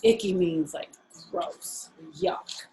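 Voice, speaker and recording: A woman's voice: fairly low pitch, slow, dark tone, slight background noise. A woman storyteller reading aloud to young children, adding casual explanations and questions as asides.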